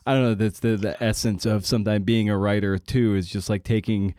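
Podcast guy, lowish voice, kinda halting and tentative, super dry close sound. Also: fairly even intonation, dark tone, no room sound. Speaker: podcast host. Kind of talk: casual interview chat.

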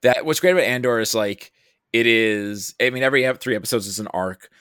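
A man's voice: mid-range voice, fairly quick, fairly bright tone, clean tone, dry recording, studio quality. A man from the United States chatting casually as a guest on a podcast. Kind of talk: casual conversational chat.

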